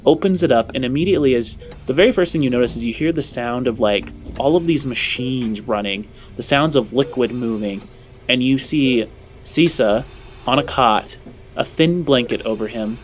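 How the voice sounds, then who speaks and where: A man's voice: mid-range voice, moderate pace. A man narrating a tabletop roleplaying game as game master, improvising descriptions and often saying "like". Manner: frequent "like" while describing scenes.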